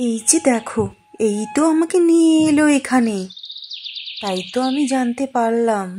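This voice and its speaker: A practical, caring woodcutter's wife in a cartoon tale acted by a woman. Her voice is high, with a coaxing, reassuring way of talking. Birdsong and slight noise run behind it. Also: lively intonation, moderate pace, dark tone, lightly acted. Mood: concerned. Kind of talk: cartoon moral-story dialogue.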